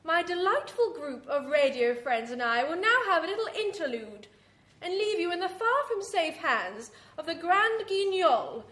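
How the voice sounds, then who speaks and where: An actor playing a prim, arch British horror hostess, theatrically addressing listeners with macabre mock sympathy in a classic radio show. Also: female voice, extremely high pitch, big pitch swings, moderate pace, fairly bright tone, full character voice. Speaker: host. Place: theatre venue in front of an audience. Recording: roomy live stage recording.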